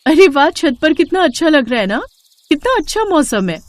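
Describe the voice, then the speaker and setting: Lady playing a innocent young village bahu, polite n earnest, lively pitch, storybook. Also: normal speed, slight background noise. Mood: sweet, cheerful. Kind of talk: animated storybook acting.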